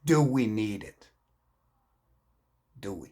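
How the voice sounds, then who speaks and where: An upset man with a French Canadian accent and halting English, a native French speaker, delivering an unscripted, profane political rant as a vlogger. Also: fairly low pitch, lively intonation, a little mellow, reverberant.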